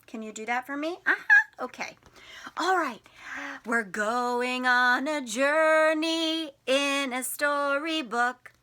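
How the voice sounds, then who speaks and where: A woman teacher reading a picture book to young children in an expressive, sing-song way, putting questions to them. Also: high voice, slow. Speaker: teacher and storytime host.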